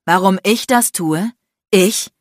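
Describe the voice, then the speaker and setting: A woman voicing an icy, furious, sarcastic man in an audiobook, hissing and shouting with biting, threatening bluntness. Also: low-pitched, normal speed, no room sound, studio quality. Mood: cruel, aggressive. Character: enraged, icy, sarcastic, domineering adult man, a lawyer. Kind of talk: dramatic audiobook character acting.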